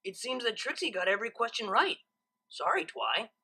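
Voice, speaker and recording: A woman's voice: a little high, sing-song, moderate pace, vocal fry, bright, forceful tone, breathy edge, voice pushed up, full character voice. A woman voicing a casual, mischievous young male dragon assistant in a story reading.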